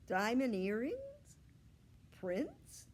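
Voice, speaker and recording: A woman reader plays a storybook schoolteacher, a patient and slightly weary woman. The speech is slow, polite and measured, as if addressing a classroom of young children. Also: a little high, huge pitch swings, darkish tone, slightly rough, pitched above the performer's own voice, full character voice. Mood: questioning, resigned.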